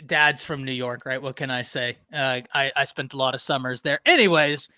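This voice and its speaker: A man from Texas hosting a casual live-stream sports talk show, animated and talking to chat viewers by name. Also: medium pitch, moderate pace, no echo, studio quality.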